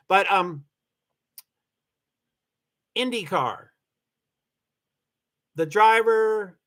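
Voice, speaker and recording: A man's voice: sits high, pushed and bright. Rambling chatty man from Kentucky. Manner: rambling, self-correcting, chatty. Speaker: livestream host. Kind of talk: casual livestream chat, reading viewer comments.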